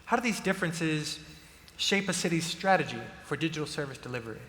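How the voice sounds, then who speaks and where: A man moderating a conference panel, reading a formal introduction in a measured way. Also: on the high side, animated, fairly bright tone. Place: large conference stage. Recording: long hall reverb.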